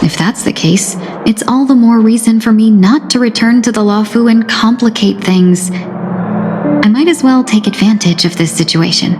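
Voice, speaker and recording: An actress playing a gentle, composed young woman merchant who speaks softly and graciously in a game cutscene. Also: a little high, normal speed, close to an everyday voice. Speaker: game character. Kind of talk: dubbed game cutscene acting. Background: loud game music.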